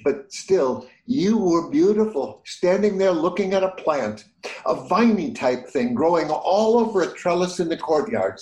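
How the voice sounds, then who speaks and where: A man's voice: on the high side, reverberant, natural voice, low-quality recording. An actor playing an earnest, smitten older man in a romantic comedy audio play, speaking in a wordy, old-fashioned, poetic way. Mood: earnest, confessing.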